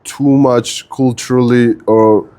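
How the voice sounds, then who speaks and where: A man's voice: medium pitch, steady tone, slow pace, mellow. A man, an English speaker hosting a casual podcast interview, direct with short questions. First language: Georgian. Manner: direct, non-native phrasing, asks short questions. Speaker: podcast host and wrestling camp organizer.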